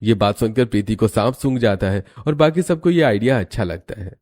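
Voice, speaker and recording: A man narrates a cartoon family story from a script. His voice sits fairly low. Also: a little fast, darkish tone.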